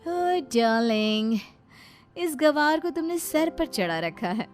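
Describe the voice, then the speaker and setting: Female narrator as spoiled city wife, cartoon, high voice, affected, demanding, haughty. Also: expressive, slow pace, faint music behind. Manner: demanding, affected, syrupy with endearments.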